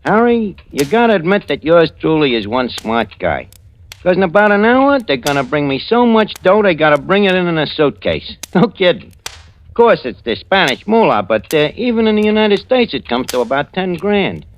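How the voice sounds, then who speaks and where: A man's voice: on the high side, very animated, moderate pace, highs cut off. An actor playing a cocky, slangy small-time New crook, boastfully telling his tale in an old-time radio drama. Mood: boastful, amused. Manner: wisecracking, boastful, hesitant with frequent uhs. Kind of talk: old-time radio drama acting, first-person narration. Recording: noisy vintage radio recording with clicks.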